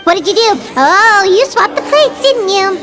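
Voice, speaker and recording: A woman's voice: extremely high pitch, lively intonation, moderate pace, in a large hall, very noisy. A woman voicing a tiny, childlike female companion in a video game who is brash, outspoken and fiercely loyal. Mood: accusing. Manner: indignant, outspoken, refers to herself by name.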